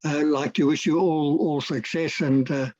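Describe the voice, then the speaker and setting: An older man with a South African accent, a native Afrikaans speaker, giving a casual interview as a guest and pausing often with fillers and restarts. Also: on the high side, steady tone, a little mellow, no room sound, dull audio. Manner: hesitant, many fillers and restarts. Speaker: guest, retired air force officer.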